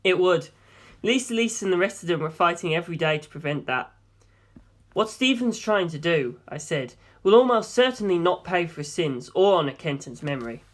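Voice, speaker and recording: Woman playing an earnest, low-toned young man. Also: animated, moderate pace. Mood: grave. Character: Jorge, earnest guarded young man, narrating his story. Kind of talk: first-person audiobook narration.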